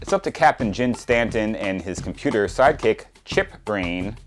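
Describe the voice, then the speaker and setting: Wry, animated man in his forties. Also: lowish voice, moderate pace. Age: early forties. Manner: wry, dry humour. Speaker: record reviewer, presenter. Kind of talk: scripted review narration. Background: music.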